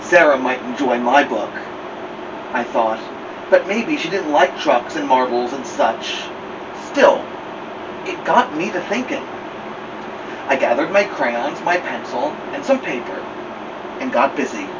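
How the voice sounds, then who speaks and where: A man reading a children's picture book aloud as a storytime host, expressively, with drawn-out emphasis. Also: medium pitch, lively intonation. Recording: muffled, clear background noise, slightly distant.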